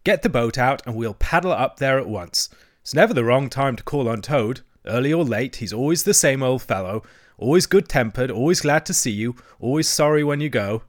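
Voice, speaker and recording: A man voicing a cheerful, good-natured water rat in a children's story, chatty, colloquial and quick with opinions. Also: mid-range voice, animated, no room sound, clean studio sound, natural voice. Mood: warm, fond.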